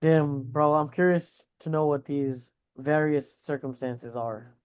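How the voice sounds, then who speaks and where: A man's voice: fairly high pitch, steady tone, slow, a little mellow, clear, resonant tone. A man co-hosting a podcast, reacting to news in a casual, slangy way with mild swearing. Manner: slangy, informal, swears mildly. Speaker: podcast co-host.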